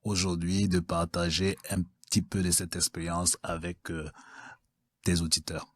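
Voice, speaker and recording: Deep-voiced man. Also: little pitch movement, fairly bright tone, slightly rough, dry recording. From Cameroon. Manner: measured, with frequent filler sounds. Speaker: guest, mining executive. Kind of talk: interview answers.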